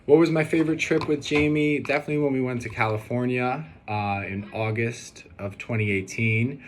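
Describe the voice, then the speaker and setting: A man's voice: mid-range voice, moderate pace. A young man recording a casual personal video message, talking in a hesitant, rambling and earnest way. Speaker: groom-to-be answering questions on video. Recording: steady background noise.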